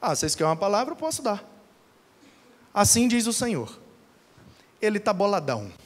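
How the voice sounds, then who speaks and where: A man's voice: sits high, sing-song, moderate pace. A man acting out an unbothered woman prophet who speaks bluntly and colloquially, matter-of-fact about doom. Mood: unimpressed. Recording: long hall reverb.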